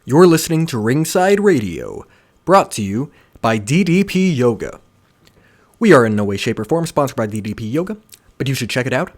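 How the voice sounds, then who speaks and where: Low-voiced woman hosting a casual podcast. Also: big pitch swings, moderate pace, darkish tone. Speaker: podcast host. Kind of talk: casual group chat.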